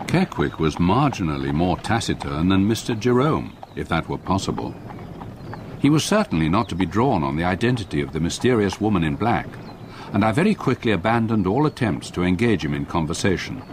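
An actor playing an older English retired solicitor, narrating his memories in measured, literary radio drama style. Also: low voice, sing-song, noisy background. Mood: reflective. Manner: measured, literary, vivid storytelling. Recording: clear outdoor effects behind narration.

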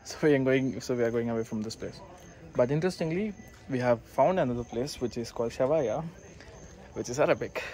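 A man's voice: medium pitch, fairly quick, rough recording. A young man with an Indian accent, narrating a casual travel vlog as he goes. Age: young adult. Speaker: motovlogger. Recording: loud music over the voice. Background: loud music.

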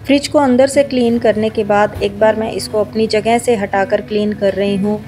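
A woman with an Indian accent giving a calm, step-by-step voice-over for a home cleaning video. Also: medium pitch, moderate pace. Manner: explains each step in a steady how-to tone. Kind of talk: calm scripted voice-over narration. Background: background music.

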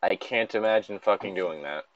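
A man with a lowish voice talks casually and swears freely, as a co-host reading and riffing. The sound is dry and a little dull, like a lo-fi home microphone. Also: normal speed, no echo. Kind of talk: casual joking chat with some read-aloud.

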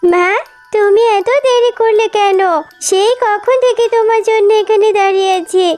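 A woman's voice: very high-pitched, fairly even intonation, moderate pace, a little mellow. A woman voicing an innocent little girl fox in a children's cartoon, childlike and earnest. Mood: anxious. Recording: music behind the voice.

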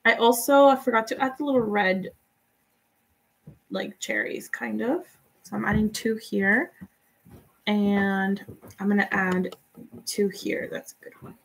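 A young woman from Guatemala whose first language is Spanish, chatting relaxed and giving step-by-step tips in a live painting tutorial. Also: mid-range voice, measured pace, gravelly, long pauses. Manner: relaxed, chatty, laughs often, answers viewers' comments. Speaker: painting instructor.

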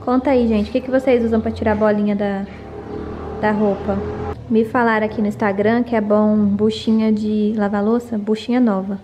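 A woman's voice: mid-range voice, flat delivery, moderate pace, mellow, soft background music. A young Brazilian woman in her twenties chatting casually to a handheld camera at home. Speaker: vlogger. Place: at home, covered garage and kitchen. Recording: faint background noise.

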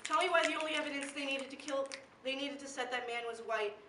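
A woman's voice: high-pitched, fast talker. A young woman performing slam poetry with an impassioned, emphatic and rhythmic delivery. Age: teen or young adult. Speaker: slam poet. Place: live poetry event in a room. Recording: echoey room, distant mic, faint noise.

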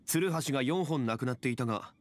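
A man playing a calm, scholarly gentleman consultant in an anime-style video game, speaking in a measured, formal, methodical way. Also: mid-range voice, natural voice. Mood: calm, analytical. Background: background music.

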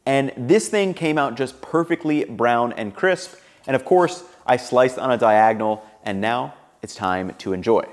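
A young man in his twenties, upbeat and relaxed, narrating his home cooking and chatting to the camera in his kitchen. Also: medium pitch, a little slow, hardly any pauses. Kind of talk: cooking vlog voice-over and casual to-camera chat.

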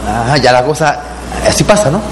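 Pastor preaching in an echoing hall. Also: male voice, mid-range voice, normal speed. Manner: animated preaching with rhetorical questions. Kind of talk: sermon. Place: church hall. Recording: reverberant hall with background noise.

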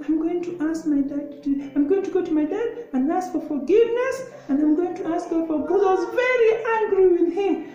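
Very high-pitched boy puppet played by a woman. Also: lively intonation, a little fast, hardly any pauses, mellow. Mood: earnest, repentant. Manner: exclaiming, questioning, childlike. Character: curious, excitable young boy puppet, eager to obey. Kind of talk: children's puppet show dialogue. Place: echoey room indoors. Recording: roomy, slightly dull home video sound.

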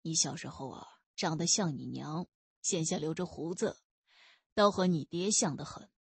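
A woman voicing a kindly, mild old man in an audiobook, speaking gently and slowly. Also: sits low, breathy edge, dry recording, voice pushed down, heavily acted. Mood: warm, fond. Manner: slow, gentle.

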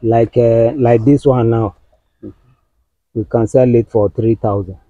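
A middle-aged Nigerian man walks through open fields, explaining farm work in a patient, halting way full of fillers. His voice is very dark and mellow. The sound is a little dull and lo-fi. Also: medium pitch, steady tone, dry recording. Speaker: farm worker. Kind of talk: explaining farm practice on a walking tour. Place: outdoors on a vegetable farm.

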